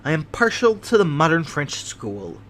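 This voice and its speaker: A fussy, nervous gentleman with refined airs, as a male reader puts him on. He speaks in a prim, precious, fretful manner, in a slightly high voice. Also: very animated, moderate pace, slight background noise. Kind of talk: audiobook character voice.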